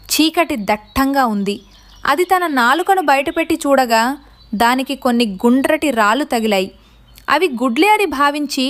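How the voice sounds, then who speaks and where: A woman's voice: high-pitched, a bit bright. A woman narrating a scripted story for children.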